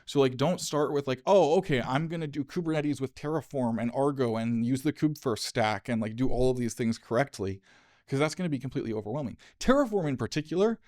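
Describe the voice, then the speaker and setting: A man's voice: mid-range voice, darkish tone, no room sound. Man in his thirties fielding audience questions at a podium; easygoing, laughs easily, dry close sound. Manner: casual, laughs often, quick asides. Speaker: conference speaker. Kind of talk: Q&A after a tech talk. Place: lecture room, at a podium. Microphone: podium mic, dry.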